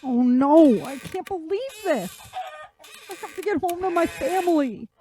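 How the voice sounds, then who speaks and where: A woman's voice: sits high, animated, a little slow. A woman playing an injured, bewildered survivor in an audio drama, dazed and anxious. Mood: distressed.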